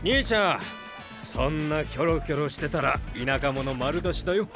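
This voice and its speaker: Friendly local guy: casual, enthusiastic, animated, slightly raised pitch, anime voice drama. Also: normal speed, over music. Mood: chatty, enthusiastic. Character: friendly, chatty local townsman, sports fan.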